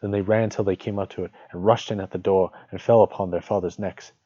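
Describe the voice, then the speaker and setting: A man with a relaxed, dark voice reads and chats like a storytelling streamer. The sound is dull and somewhat echoey. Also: low voice, fast talker, husky. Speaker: streamer reading fiction. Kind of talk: storybook narration and casual stream chat. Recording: dull lo-fi audio, roomy echo.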